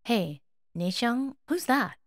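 A woman's voice: on the high side, lively intonation, extremely slow, no echo, clean studio sound, voice pushed up, heavily acted. A woman voicing a cheerful, teasing young male student who stammers when rattled, in an audio drama. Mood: curious.

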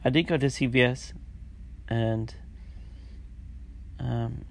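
A man with a stuffy, congested nose, hosting a casual solo diary podcast and talking in a halting way with many fillers and restarts. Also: medium pitch, fairly even intonation, gravelly, slight background noise. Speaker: podcast host.

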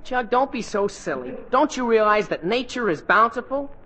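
A man's voice: very high-pitched, sing-song, moderate pace, pushed and bright, heavily acted. An actor playing a cheery, stubborn American teenage boy, eager and boastful, in 1940s radio comedy style. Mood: dreamy, confident. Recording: noisy old radio recording.

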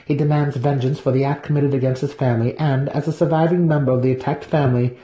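A man voicing a scheming, purring female dark elf matron in a fantasy audiobook. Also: mid-range voice, fairly even intonation, quick pace, pitched above the performer's own voice, natural voice, poor audio. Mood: purring, sly. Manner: purring, assertive. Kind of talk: dramatic fantasy audiobook reading.

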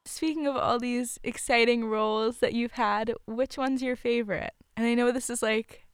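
A woman interviewing a guest, upbeat and quick to react. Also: fairly high pitch, steady tone, smooth. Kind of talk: casual interview.

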